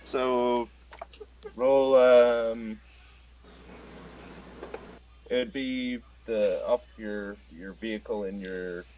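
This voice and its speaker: A man running a tabletop game over a call, giving halting, loose instructions. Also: mid-range voice, fairly even intonation, darkish tone, poor audio. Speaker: game master. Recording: faint background noise.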